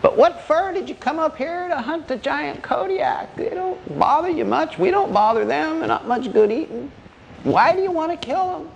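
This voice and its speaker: High-pitched folksy old granddad. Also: male voice, lively intonation, moderate pace, vocal fry, dull audio, a little hiss or hum, heavily acted. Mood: puzzled, folksy. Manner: folksy, hesitant questioning that breaks into shrill hollering. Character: wily old backwoods granddad, folksy and feigning bewilderment.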